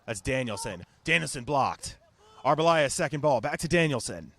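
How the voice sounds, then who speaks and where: A man doing television soccer play-by-play in short, clipped, energetic phrases. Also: mid-range voice, normal speed, slight background noise.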